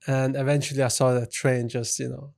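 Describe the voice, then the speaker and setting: Soft-spoken Swedish-raised man telling his story. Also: medium pitch, flat delivery, a little fast, vocal fry. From Sweden. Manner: soft-spoken, easygoing, with frequent "you know" fillers. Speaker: guest, company CEO. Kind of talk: relaxed storytelling in an interview.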